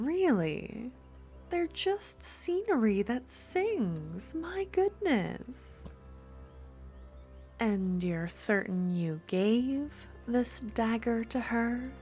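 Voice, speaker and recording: Woman as a jealous, clingy shopkeeper for an ASMR roleplay: high, slow, soft-spoken, faint music behind. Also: big pitch swings. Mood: sweet, teasing. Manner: soft-spoken, sweetly teasing, turning possessive. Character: possessive female merchant, jealous yandere.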